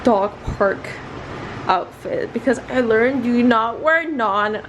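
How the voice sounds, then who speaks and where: Woman, somewhat high voice, casual vlogger, rambling, self-deprecating, noticeable background noise. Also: expressive, slow pace, muffled recording. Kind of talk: casual chatty vlog.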